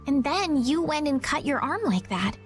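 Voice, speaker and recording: A woman playing a gentle, troubled young healer in a video game, speaking earnestly. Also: high voice, very animated, moderate pace. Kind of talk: video game dub acting. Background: background music.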